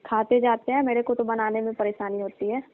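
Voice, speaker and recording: A weary, argumentative young daughter-in-law acted by a woman complains and pleads in a conversational phone skit. Her voice sits fairly high with little melodic movement, heard over a narrow, muffled phone line. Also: level tone, brisk, natural voice. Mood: complaining. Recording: dull, band-limited phone call recording.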